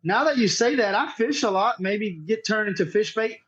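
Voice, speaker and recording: A man's voice: sits high, lively intonation, normal speed, a bit bright, slightly rough, muffled recording. A man chatting casually as a podcast guest, informal and laid-back, with some swearing. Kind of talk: casual chat.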